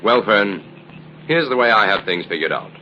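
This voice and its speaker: An actor playing a calm, confident, methodical crime-fighting hero in an old-time radio adventure. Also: male voice, fairly low pitch, moderate pace, natural voice. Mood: confident, explaining. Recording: muffled, noisy old radio recording.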